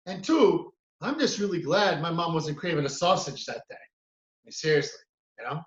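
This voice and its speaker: A man from the United States doing a stand-up comedy routine. He tells his stories with animated energy and acts out other people's voices. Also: a little high, normal speed, echoey room, highs cut off. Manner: animated storytelling, acts out his mother and friend.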